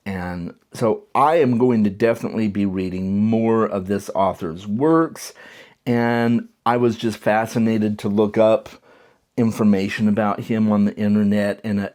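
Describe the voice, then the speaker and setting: A man with a slightly low voice chatting through a book review. He sounds enthusiastic and conversational, and now and then he stumbles and restarts a sentence. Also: measured pace, a little mellow. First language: English. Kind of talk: casual spoken book review.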